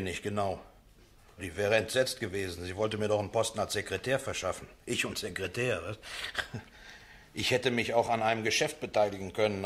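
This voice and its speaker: Radio drama: evasive young defendant played by a man, lowish voice, halting, colloquial. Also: a bit bright, slight background noise, lightly acted. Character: evasive young male defendant, casual, down-on-his-luck nephew. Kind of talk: courtroom radio play acting.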